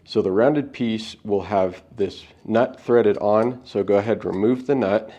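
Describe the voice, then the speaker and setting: A man's voice: on the low side, a little slow, mellow, dull audio. A man hosting an unboxing, giving casual, plain-spoken step-by-step setup instructions. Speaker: product unboxing host.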